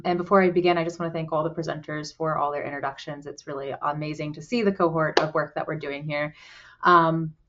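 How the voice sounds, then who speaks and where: Low-voiced woman presenting on a muffled call. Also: fairly bright tone. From Colorado, USA. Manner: earnest, uses frequent "um" and "and so". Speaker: program director presenting. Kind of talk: conference presentation. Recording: muffled video call audio.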